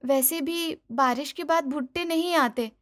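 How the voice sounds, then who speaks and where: A woman acts out a young bride in a cartoon family story. Her voice is high, eager and expressive, made for coaxing her in-laws and sulking a little when hurt. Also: measured pace. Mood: pleading, hurt. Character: eager young daughter-in-law, cheerful, pleading, easily hurt. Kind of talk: animated moral story dialogue.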